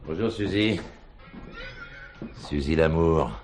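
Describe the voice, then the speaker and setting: An actor playing a courteous, wry, urbane gentleman in a French radio drama. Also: very deep voice, takes it slowly, heavy background noise. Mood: polite irony.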